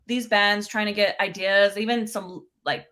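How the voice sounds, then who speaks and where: Bright, bubbly young woman. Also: medium pitch, fairly even intonation, measured pace. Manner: bubbly, chatty, laughs often. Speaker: singer-songwriter guest. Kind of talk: casual interview chat.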